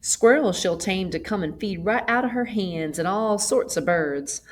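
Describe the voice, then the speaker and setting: A woman's voice: medium pitch, lively intonation, unhurried, slight background noise, natural voice. A woman voicing a chatty, hospitable old country grandmother in a storybook, with a folksy, gossipy manner. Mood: affectionate, chatty. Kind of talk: storybook character voice.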